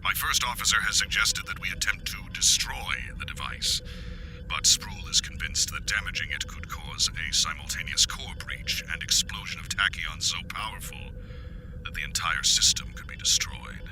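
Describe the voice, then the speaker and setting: A man's voice: sits low, lively intonation, moderate pace, poor audio. A man playing a terse, grave alien starship captain dictating a formal log in a sci-fi tabletop game. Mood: grave, worried. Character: terse Andorian starship captain, increasingly worried, reading log. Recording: music playing under the voice.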